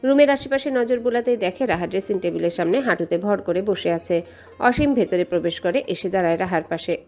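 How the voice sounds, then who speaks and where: A woman's voice: mid-range voice, a little fast, a little mellow. A woman reading a scripted romantic story as its narrator.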